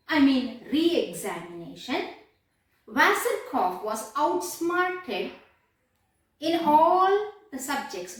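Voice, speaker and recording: Female teacher, lively swooping intonation, explanatory storytelling, recorded distant in a very echoey room. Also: high voice. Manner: deliberate, repeats phrases for emphasis. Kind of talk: lesson retelling a play.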